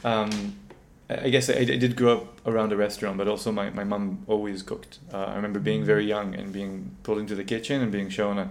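A man's voice: on the low side, level tone, a little fast, few pauses. A young man who grew up in Israel, a company co-founder, answering interview questions hesitantly, often repeating words.

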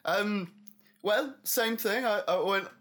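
A man's voice: sits high, takes it slowly, fairly bright tone. A man from Yorkshire co-hosting a podcast in casual chat, cheerful and easygoing, laughing often. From Yorkshire, England. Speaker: podcast co-host.

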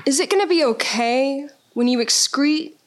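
A woman's voice: high voice, moderate pace, lightly acted. A woman voicing a solemn, philosophical teenage boy who ponders aloud in a comedy sketch. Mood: solemn, pondering. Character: brooding, philosophical teenage boy, the band's thinker.

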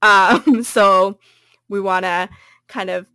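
A woman's voice: mid-range voice, fairly even intonation, slow, bright, forceful tone, clean tone, no room sound, clean studio sound. A woman co-hosting a podcast, chatting casually about a book she recommends, with many ums and likes and frequent laughs. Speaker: podcast co-host.

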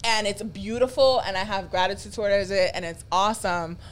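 A woman's voice: medium pitch, steady tone, fairly quick, slight background noise. A woman guest on a podcast, talking candidly and reflectively in casual, slangy chat.

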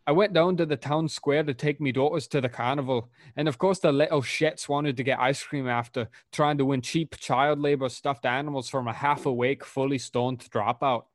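A man's voice: mid-range voice, steady tone, moderate pace, hardly any pauses, dry recording, clean studio sound, natural voice. A man reading out a review as a crude, furious Scottish father, in an attempted Scottish accent, ranting vulgarly and dramatically. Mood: disgusted ranting.

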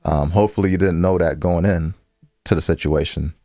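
Male podcast co-host, low-pitched, close dry sound, loose street-casual phrasing with some cursing. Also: steady tone, a little fast, mellow, no room sound. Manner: informal slangy speech with swearing. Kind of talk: casual conversational advice discussion.

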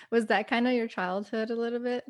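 A woman's voice: on the high side, fairly even intonation, moderate pace. A young American woman hosting a podcast, chatting casually with lots of "you know" and "like". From United States, family from Kosovo. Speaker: podcast host. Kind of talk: casual interview chat.

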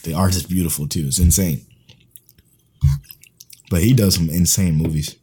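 A man co-hosting a casual podcast, laid-back and slangy, his voice going hoarse. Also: low voice, steady tone, normal speed, warm tone. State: losing his voice. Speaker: podcast co-host.

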